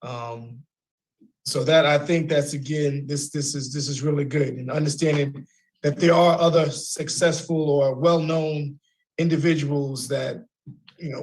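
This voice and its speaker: A man hosting a radio interview, halting and repeating words as he rephrases his questions. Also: mid-range voice, poor audio. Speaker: radio host.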